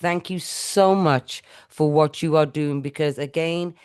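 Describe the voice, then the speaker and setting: A woman's voice: low-pitched, a little slow, darkish tone, smooth. A middle-aged woman from India hosting a podcast interview, talking effusively in a rambling way with frequent restarts. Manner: effusive, rambling, with self-corrections. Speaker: podcast host. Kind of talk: casual interview chat.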